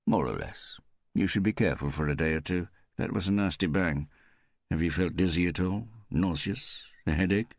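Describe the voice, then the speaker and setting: A man's voice: low voice, normal speed, no echo, studio quality, voice pushed up. A man voicing a sardonic, teasing woman pathologist with a mischievous, wry manner in an audiobook. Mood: brisk, wry.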